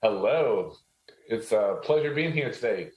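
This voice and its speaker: A man from Haiti speaking as an interview guest, explaining things conversationally with measured self-corrections. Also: on the low side, lively intonation. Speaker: interview guest, tech entrepreneur. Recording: roomy, echoey sound.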